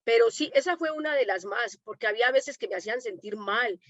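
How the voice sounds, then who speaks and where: Colombian-accented woman guest, higher voice, animated anecdotes, dull dry audio. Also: a little fast, dry recording. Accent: Colombian, from Medellín. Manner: animated storytelling, acts out quoted dialogue. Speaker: domestic worker activist, guest speaker. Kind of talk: conversational talk answering questions.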